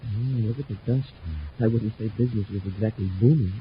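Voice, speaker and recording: A man's voice: lowish voice, brisk, breathy edge. A man playing an eager, deferential young space cadet in a 1950s radio drama. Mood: wary. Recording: muffled old radio recording, faint noise.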